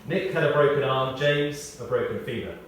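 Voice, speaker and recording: A British man reads a scripted memorial tribute as a eulogist, telling anecdotes in a measured way with pauses and emphasis. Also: mid-range voice, animated, fairly bright tone. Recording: very echoey, distant mic, faint noise.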